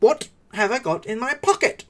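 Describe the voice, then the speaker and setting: A man's voice: very high-pitched, expressive, normal speed, a bit bright, voice pushed up. A man voicing a small male storybook adventurer, nervous underneath but putting on a bold, insistent front. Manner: insistent, raised, theatrical delivery.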